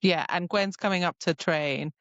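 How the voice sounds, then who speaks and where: Low-voiced woman, chatty podcast co-host. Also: normal speed, fairly bright tone, clear, resonant tone, no echo, muffled recording. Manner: chatty, frequent "like" asides. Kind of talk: casual book discussion.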